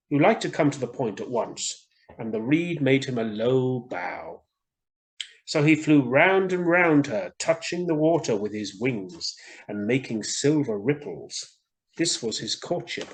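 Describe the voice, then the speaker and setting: A man reading stories aloud to children in an expressive way, speaking directly to his young listeners. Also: medium pitch, a little slow. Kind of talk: children's story reading, scripted narration.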